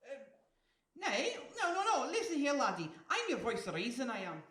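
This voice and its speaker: A woman's voice: a little high, very animated, normal speed, a bit bright. A woman voicing a pushy, teasing talking seagull with an exaggerated Scottish accent in a comic audio story, recorded with room echo. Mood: cheeky. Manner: overblown, teasing, scolding. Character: pushy small talking seagull, self-appointed voice of reason.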